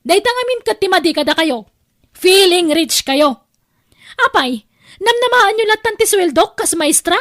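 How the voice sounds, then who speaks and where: A woman with a Filipino accent playing a stingy, sharp-tongued aunt who scolds in an audio drama. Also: very high-pitched, animated, moderate pace, no room sound, studio quality. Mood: scolding, indignant. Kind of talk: Ilocano audio drama acting.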